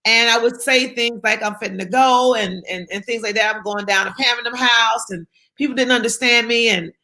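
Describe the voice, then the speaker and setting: Bright, emphatic woman speaker. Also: on the high side, fairly quick. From South Carolina. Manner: emphatic, repeats key phrases. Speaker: guest speaker. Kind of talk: motivational interview talk.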